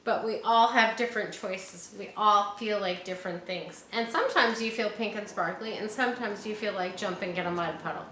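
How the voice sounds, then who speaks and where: Woman, children's storyteller, bright voice, encouraging, casual asides, echoey room, faint background noise. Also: medium pitch, moderate pace, few pauses. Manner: encouraging, speaks directly to young listeners. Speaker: storyteller reading a picture book to children.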